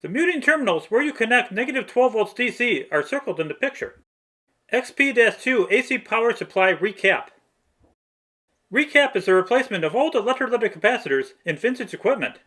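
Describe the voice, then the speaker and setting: A man's voice: very high voice, sharp tone. A man reading a scripted, step-by-step technical tutorial narration.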